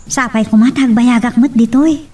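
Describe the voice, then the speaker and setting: A woman's voice: a little high, moderate pace, a little mellow, natural voice. A woman playing an earnest, hopeful young office clerk in an audio love drama, speaking with an Ilocano accent. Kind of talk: Ilocano radio-style love drama acting. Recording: distant mic with faint noise.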